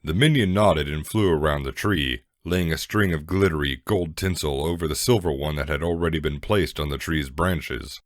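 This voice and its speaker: A man's voice: rumbling low, moderate pace, few pauses, dry recording, studio quality. A man narrating a scripted story as a dramatic reading.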